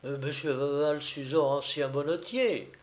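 A man's voice: on the high side, steady tone, a little slow, lightly acted. A man voicing a hapless bridegroom in a comic storybook tale, protesting in a plaintive, faltering, flustered way. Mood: bewildered. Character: hapless flustered bridegroom, pleading indignant provincial cousin.

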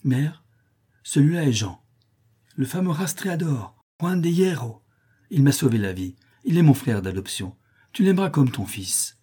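A man voicing a carefree, affectionate young Mexican companion in an adventure story, lively and warm-hearted. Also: on the high side, a little slow, breathy edge, voice pushed up, exaggerated voice. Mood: proud, affectionate.

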